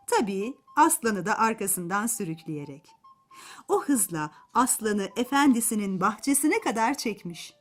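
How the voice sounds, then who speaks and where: Animated woman storyteller for children. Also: medium pitch. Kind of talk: expressive scripted narration of a folk tale for children.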